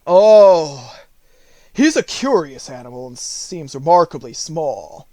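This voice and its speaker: A man's voice: on the high side, very animated, slow pace, dark tone, no echo. A great beast of a storybook lion, acted by a man, who is thoroughly cowardly. He speaks mournfully, confessing his woes with self-pity. The sound is dry. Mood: sad, confessing. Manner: sorrowful, confessing.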